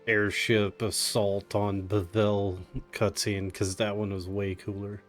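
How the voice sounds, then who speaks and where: A man's voice: fairly low pitch, flat delivery, a little slow. A man giving casual live commentary on a speedrun in a jokey, sarcastic way. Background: video game music.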